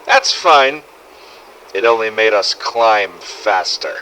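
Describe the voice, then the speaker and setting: Guy reading a first person survival log, forceful bright voice, dramatic and sweary. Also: on the low side, animated, takes it slowly, a little hiss or hum, low-quality recording. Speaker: narrator of fan-made game audio logs. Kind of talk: scripted first-person story narration in character.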